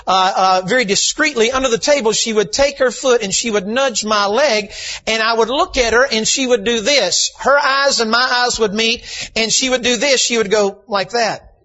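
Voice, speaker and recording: A man, a visiting preacher, slightly hoarse, telling folksy stories and building to shouted emphasis in a sermon. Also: extremely high pitch, normal speed. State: slightly hoarse from lack of sleep. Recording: dull lo-fi church recording.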